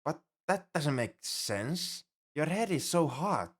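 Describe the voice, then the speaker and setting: A man's voice: on the high side, very animated, slow, full character voice. A man playing an anxious, overprotective alien crewmate in an audio roleplay, speaking formally and earnestly in a fretful, easily flustered way. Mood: doubtful, confused. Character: anxious, paranoid male alien crewmate fussing over human. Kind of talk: ASMR roleplay audio drama.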